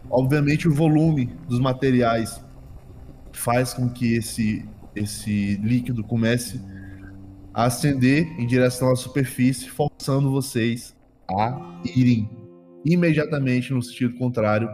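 A Brazilian man improvising tabletop game narration as game master, halting and often repeating his phrases. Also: medium pitch, mellow, a little hiss or hum. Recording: long hall reverb, music behind.

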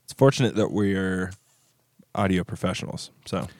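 A man co-hosting a podcast, talking in a relaxed, wry way and thinking aloud. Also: sits low, moderate pace, dry recording. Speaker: podcast co-host. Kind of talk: casual chat.

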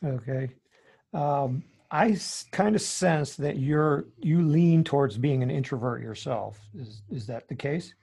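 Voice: medium pitch, a little slow, creaky, mellow, slightly rough. A podcast host asking short, casual questions in an interview.

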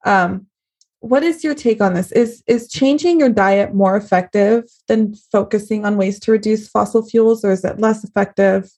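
A woman's voice: mid-range voice, moderate pace, darkish tone. A young woman hosting a podcast interview, asking questions in a friendly, agreeable way with many fillers. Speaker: podcast host. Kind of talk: conversational interview.

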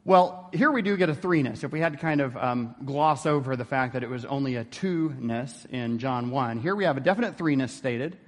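A man's voice: mid-range voice, brisk, hardly any pauses. Man lecturing in a reverberant hall. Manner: conversational, asks rhetorical questions. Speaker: theology lecturer. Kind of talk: informal classroom lecture.

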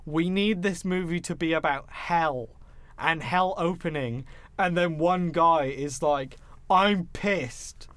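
A man's voice: a little high, takes it slowly, fairly bright tone, no room sound. A man in his twenties chatting casually as a podcast co-host, opinionated and sweary. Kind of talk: casual chat about films and games.

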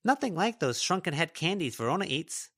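Guy doing chatty little opossum girl, high and bouncy pitch, gossipy, storybook. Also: big pitch swings, fairly quick, fairly bright tone, breathy edge, heavily acted. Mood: cheeky.

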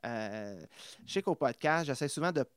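A man's voice: medium pitch, animated, moderate pace, fairly bright tone, clear, resonant tone, no echo, studio quality. A man hosting a podcast, asking long rambling questions full of stumbles and fillers. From Ontario. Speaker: podcast host. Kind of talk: casual interview.

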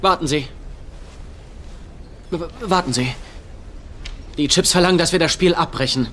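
An actor in a German-dubbed TV comedy playing a cocky, theatrical psychic who teases and chatters. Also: male voice, a little high, a little slow, pushed and bright, slight background noise. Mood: mock-serious, taunting. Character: flamboyant, cocky man playing a psychic at poker. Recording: music behind the voice.

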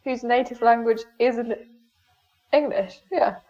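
A woman from Germany whose first language is German, chatting casually as a podcast guest, self-mocking and quick to laugh. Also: on the high side, steady tone, darkish tone. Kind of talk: casual chat. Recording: dull lo-fi sound.

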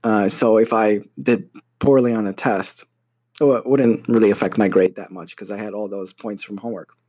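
A man's voice: fairly low pitch, fairly even intonation, normal speed, a little mellow, dry recording. A young American man telling a personal story about his studies in a casual, hesitant way, full of fillers and restarts. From United States. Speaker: university student.

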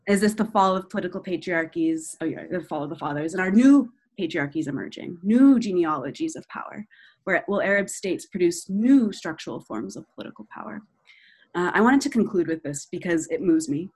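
A young woman interviewing a scholar, reading a passage aloud and asking reflective questions in a measured way. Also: lowish voice, expressive, a little mellow, slightly rough. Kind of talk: academic interview, reading a quotation.

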